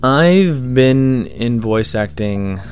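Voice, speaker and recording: A man's voice: mid-range voice, expressive, extremely slow, darkish tone, faint music behind. A young man, a hobby voice actor, answering interview questions in a loose, rambling way, full of fillers and restarts.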